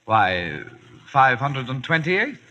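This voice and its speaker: An actor playing a cheeky, matter-of-fact young page in an old-time radio drama, using flowery archaic courtly speech. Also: male voice, mid-range voice, big pitch swings, slow, bright, forceful tone, dull audio, full character voice. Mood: matter-of-fact, amused.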